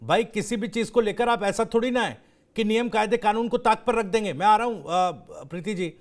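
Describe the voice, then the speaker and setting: A man's voice: sits high, fairly quick. A man anchoring a live TV news debate, putting pressing, challenging questions to panelists.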